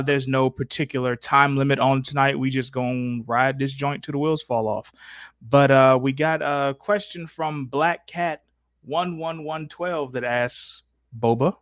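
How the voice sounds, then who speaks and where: A man's voice: medium pitch, fairly bright tone, dry recording. Guy hosting a chill stream chat, slangy n playfully fed up, dry close audio. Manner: informal, slangy, playfully exasperated. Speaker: host of a character Q&A livestream. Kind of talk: casual improvised chat.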